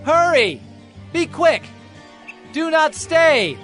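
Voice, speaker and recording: A man's voice: extremely high pitch, sing-song, extremely slow, fairly bright tone, faint music behind, exaggerated voice. A man playing an earnest, loyal young prince in a dramatized Bible story, speaking emphatically. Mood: urgent calling.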